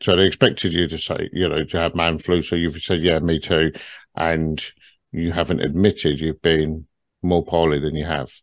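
A man's voice: extremely low pitch, flat delivery, moderate pace, no room sound. A man moderating a group discussion, asking probing questions and summing up what the others say.

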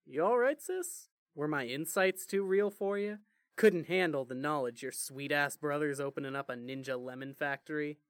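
A man's voice: a little high, normal speed, smooth, dry recording, clean studio sound, natural voice. A man playing a foul-mouthed, sarcastic teenage brother who rambles and jokes in an audio drama. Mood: joking, rambling. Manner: sarcastic, rambling, heavy swearing. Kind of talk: fan fiction audio drama acting.

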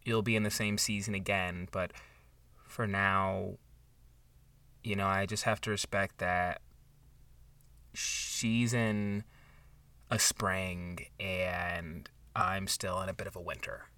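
An awkward, heartfelt man co-hosts a mock book podcast. His delivery is hesitant and slow, with a sharp, bright tone, in a natural improv comedy style. The recording is dry and slightly distant from the mic. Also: deep voice, level tone, dry recording, heavily acted. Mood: wistful, halting. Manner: halting, hesitant, sincere. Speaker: podcast co-host.

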